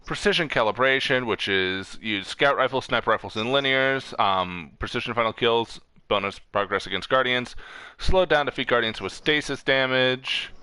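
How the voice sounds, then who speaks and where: A man's voice: mid-range voice, normal speed, sharp tone, clear, resonant tone, no echo. A man hosting a casual gaming stream, reading off lists informally, stumbling over words and correcting himself. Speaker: streamer host.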